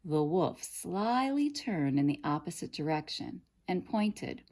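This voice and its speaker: A woman narrating a children's story in a dramatic, deliberate, expressive way. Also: on the low side. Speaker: storybook reader.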